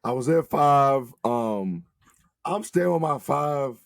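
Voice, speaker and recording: A man co-hosting a casual sports show, his voice worn hoarse and nearly gone. He argues hard and swears freely, mid-pitched. The sound is close and dry with no room around it. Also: slow, no echo. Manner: animated, emphatic, swears freely. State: losing his voice. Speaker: podcast co-host.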